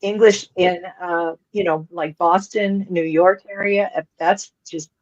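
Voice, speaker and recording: A woman's voice: on the low side, steady tone, measured pace, muffled recording. A woman co-hosting an interview, telling stories in a chatty way with lots of fillers. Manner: chatty storytelling with many fillers. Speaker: co-host. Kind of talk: casual interview chat.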